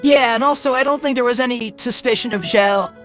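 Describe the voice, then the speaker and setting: A woman playing a video game and giving casual, halting reactions with dry, muttered understatement. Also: on the high side, fairly bright tone, faint music behind. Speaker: gaming streamer.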